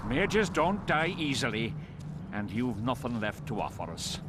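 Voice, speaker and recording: Blunt angry noble. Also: male voice, mid-range voice, very animated, measured pace, noisy background. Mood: dismissive. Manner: forceful, heated.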